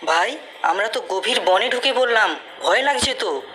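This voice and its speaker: A woman's voice: mid-range voice, animated, moderate pace, a bit bright, slight background noise, natural voice. A woman voicing a young prince, a protective elder brother, earnestly in a cartoon story. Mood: frightened.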